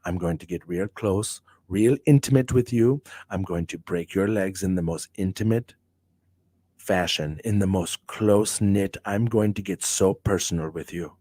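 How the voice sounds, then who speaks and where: A man putting on a low, hushed voice as a wrestler threatening a rival. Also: moderate pace, breathy edge, no echo. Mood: menacing.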